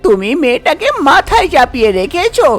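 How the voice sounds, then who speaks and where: Woman playing a shrill-pitched fussy middle-aged mother. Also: very animated, brisk, faint music behind. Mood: scolding. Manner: scolding, fussy. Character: exasperated middle-aged mother, scolding and fussing. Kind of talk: cartoon folk tale character voice.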